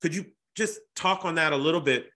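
A man's voice: a little high, fairly quick, bright, forceful tone. A man from Boston hosting a podcast, chatting casually and often repeating words while he finds his phrasing. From Boston, USA. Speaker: podcast host. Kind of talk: casual interview chat.

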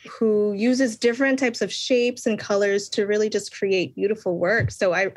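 A young adult woman from Boston, an author answering interview questions earnestly, with many pauses and restarts. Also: fairly high pitch, dry recording. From Boston, Massachusetts. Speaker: children's book author, guest.

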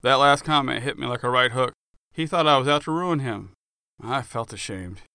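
Casting: male author-narrator for first-person audiobook fiction, forceful and clear, dramatic storyteller. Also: medium pitch, normal speed, no room sound, studio quality.

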